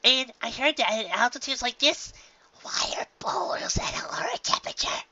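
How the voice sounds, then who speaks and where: A reader voicing an excitable, playful and dramatic female pony in a fan fiction story. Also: medium pitch, moderate pace, whispered, muffled recording, pitched above the performer's own voice. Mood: excited.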